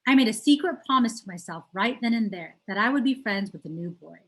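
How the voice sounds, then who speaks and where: Lady reading a kids chapter book out loud, medium pitch, stresses the important words. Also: expressive, fairly quick. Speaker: teacher reading aloud. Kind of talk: storybook read-aloud of a children's novel.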